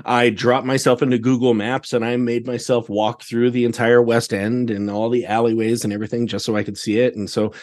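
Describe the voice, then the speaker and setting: A man's voice: mid-range voice, flat delivery, a little mellow. An American man talking casually as a podcast guest, often circling back over words as he searches for the next one. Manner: halting, searching delivery with repeated words. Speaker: podcast guest, theatre director. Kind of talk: casual interview conversation.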